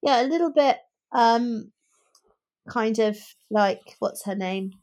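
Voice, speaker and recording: A woman's voice: on the high side, slow pace, darkish tone. A woman co-hosting a podcast in a casual book discussion, reflective and often feeling for the right word as she gives her view.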